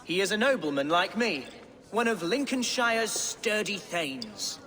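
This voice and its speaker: A male actor playing a foppish, excitable, self-important young Anglo-Saxon nobleman in a video game, florid and theatrical. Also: high-pitched, a little slow, big-room sound, full character voice. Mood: pompous, cheerful.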